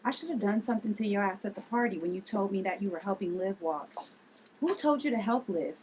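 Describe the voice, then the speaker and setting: A woman's voice: mid-range voice, fast talker, a little mellow, a little hiss or hum, natural voice, poor audio. A woman at a table read playing a fierce, protective aunt who readily confronts and threatens. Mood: threatening.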